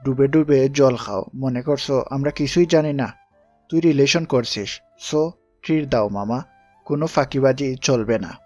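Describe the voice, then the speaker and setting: A man's voice: medium pitch, unhurried, darkish tone, no echo, soft background music, natural voice. A man playing a teasing young college buddy in an audio story, with pushy, slangy, mocking banter.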